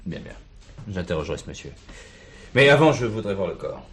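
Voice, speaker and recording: A man's voice: sits low, big pitch swings, a little fast, noisy background. A man playing an authoritative, methodical police chief in a French detective stage comedy. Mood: measured authority.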